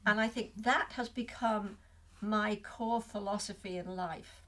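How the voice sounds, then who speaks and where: A woman's voice: medium pitch, steady tone, measured pace, a bit bright. An older woman with a Liverpool accent, a guest in a casual interview, talking reflectively with many restarts. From Liverpool, England.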